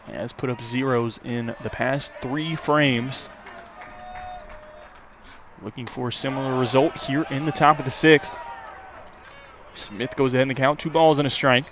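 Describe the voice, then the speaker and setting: A male baseball announcer delivers live play-by-play for a radio broadcast. His pitch is mid-range. Faint outdoor ambient noise sits behind his voice. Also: fairly even intonation, unhurried, pauses often, crowd noise.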